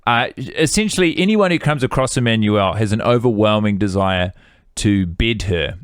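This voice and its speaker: A man's voice: on the low side, animated, normal speed, smooth, no echo, studio quality. A man, co-hosting a casual film-review podcast and rambling with fillers and restarts. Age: 30s. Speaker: podcast co-host.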